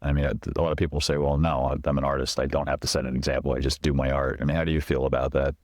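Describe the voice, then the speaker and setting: A male interviewer asking quick, probing questions. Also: a bass voice, flat delivery, no echo, clean studio sound.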